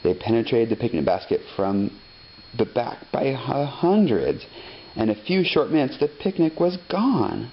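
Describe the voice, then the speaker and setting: Male storybook reader, deliberate phrasing, very varied intonation, dull recording with faint noise. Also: medium pitch, lively intonation. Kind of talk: expressive scripted children's story narration.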